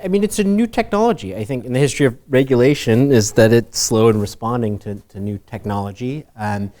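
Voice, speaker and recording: Male academic panelist, casual and hedging, medium pitch. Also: brisk, dark tone. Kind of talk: panel discussion.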